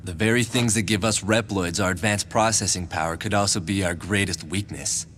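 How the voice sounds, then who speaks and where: An actor playing a reflective, soft-spoken male robot hunter in a video game cutscene. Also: deep voice, steady tone, a little fast, noisy background, lightly acted.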